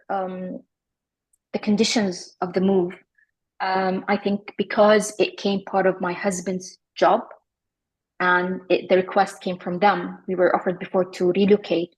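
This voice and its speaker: A woman in her forties, from Iraq, talking reflectively as an interview guest, often pausing with fillers and restarting her sentences. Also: mid-range voice, flat delivery, husky, highs cut off. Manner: thoughtful, many fillers and restarts.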